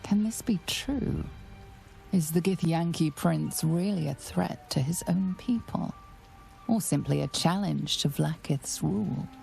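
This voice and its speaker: A woman playing a solemn, omniscient storyteller, narrating a fantasy game in a hushed, deliberate, dramatic way. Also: low voice, vocal fry, soft background music, full character voice, poor audio. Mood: wondering.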